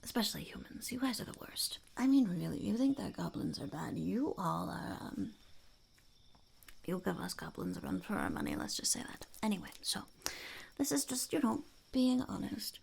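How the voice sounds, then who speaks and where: A woman voicing a mischievous, blunt female goblin peddler in soft, whispery ASMR roleplay, with a halting, deadpan delivery. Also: medium pitch, heavily acted. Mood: blunt, teasing. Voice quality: breathy, creaky, whispery. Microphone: close ASMR microphone. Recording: close-up with clicks and faint noise.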